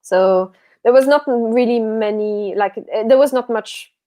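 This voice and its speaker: A woman's voice: fairly high pitch, unhurried, warm tone. Tired Belgian-born woman, rambling chat. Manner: rambling, self-correcting, laughs often. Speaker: guest author. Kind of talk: casual livestream chat.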